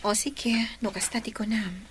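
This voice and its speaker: Woman acting supportive young girlfriend in a radio play, highish breathy voice, gently persuasive. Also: steady tone, measured pace. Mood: supportive, steadfast. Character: supportive, devoted young girlfriend, patient and steadfast.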